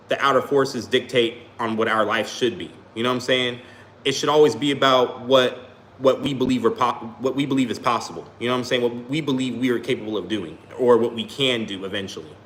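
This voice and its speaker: Male streamer, mid-pitched, rambling casual delivery, echoey room with faint hum. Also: fairly bright tone. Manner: rambling, repeats "you feel me". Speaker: small YouTuber live-streaming. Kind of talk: casual livestream talk to chat. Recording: roomy echo, faint background hum.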